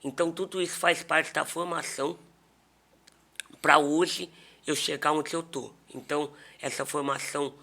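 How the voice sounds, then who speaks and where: A man's voice: fairly high pitch, steady tone, normal speed, creaky, sharp tone. A man with a Brazilian accent telling personal stories in a casual podcast conversation as a pastor. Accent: Brazilian Portuguese.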